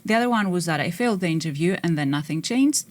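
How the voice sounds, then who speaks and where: A woman's voice: fairly low pitch, expressive, fast talker. A woman from Greece, an engineering manager answering panel questions thoughtfully, with frequent hesitations.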